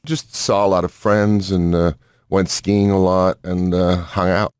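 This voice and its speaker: List: man, co-host, very deep voice, dry sound, deadpan, few words. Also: measured pace, clean tone, no echo. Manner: terse, deadpan short replies. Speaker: podcast co-host. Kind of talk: casual chat.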